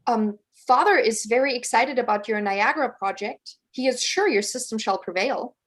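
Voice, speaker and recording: Woman acting modest young society heiress, slightly high voice, candid, formal, a little hesitant, period stage drama. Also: normal speed, a bit bright. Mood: polite, candid. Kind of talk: play reading, period drama. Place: indoors, remote online reading.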